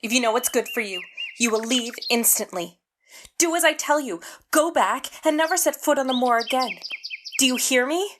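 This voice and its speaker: A woman's voice: high voice, animated, moderate pace, background noise. A woman acting in an audio drama as a naturalist's earnest, guarded sister, speaking formally and with composed urgency. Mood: urgent warning.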